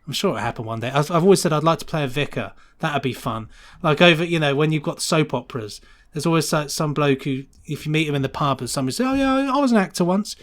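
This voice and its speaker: A man's voice: on the high side, fast, fairly bright tone. A man chatting casually and enthusiastically as an interview guest, rambling through his answers in a loose, conversational way. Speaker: podcast guest, sound designer.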